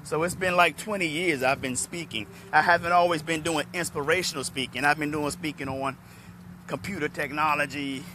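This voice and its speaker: A middle-aged man from Washington, D.C., a motivational speaker, talking hoarsely and chattily to viewers on a phone held at arm's length outdoors. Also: a little high, moderate pace, slight background noise. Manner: relaxed, chatty, addresses viewers by name. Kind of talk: live-streamed talk to viewers. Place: outdoors in a parking lot under trees.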